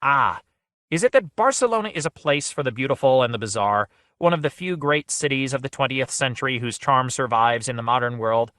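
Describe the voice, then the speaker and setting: A man's voice: medium pitch, expressive, brisk, a bit bright, dry recording, studio quality, pitched above the performer's own voice, lightly acted. A man voicing a smug, boastful male rabbit avatar with a glib, showy manner. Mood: teasing.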